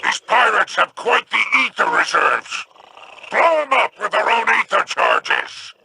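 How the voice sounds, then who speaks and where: An actor voicing a scheming alien crime boss in a video game, with a gravelly, sneering voice. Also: male voice, high-pitched, very animated, measured pace, bright, forceful tone, a little hiss or hum, heavily acted, rough recording. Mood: businesslike.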